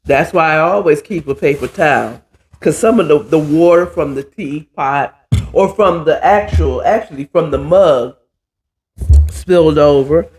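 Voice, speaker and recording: Hoarse, stuffy-voiced man chatting slowly. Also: a little high. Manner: chatty, drawling, says "child" a lot. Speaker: podcast host. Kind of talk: casual cooking chat.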